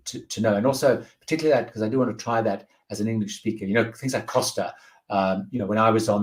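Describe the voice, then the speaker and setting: A man's voice: lowish voice, a little mellow. A man hosting a live question-and-answer stream, casually reading out viewer questions and giving rambling, friendly advice. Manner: rambling, many fillers and restarts, friendly advice. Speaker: host of a live cruise Q&A.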